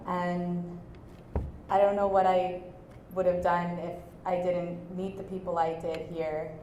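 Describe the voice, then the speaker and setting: A woman's voice: lowish voice, little pitch movement, measured pace, mellow, highs cut off, a little hiss or hum. A young woman in her twenties, a scholarship recipient, answering questions on stage in an earnest, personal way. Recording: echoey room with faint ambience.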